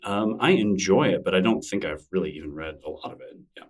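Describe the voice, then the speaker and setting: Low-voiced man, relaxed and rambling. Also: fast, distant mic. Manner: relaxed, rambling, self-deprecating with frequent restarts. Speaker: podcast guest. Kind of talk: casual interview conversation.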